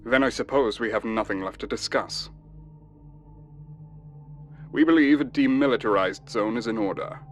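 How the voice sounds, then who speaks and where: A man playing a ruthless human military officer in a science fiction audio drama, sardonic, deliberate and coldly courteous. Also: medium pitch, soft background music, close to an everyday voice.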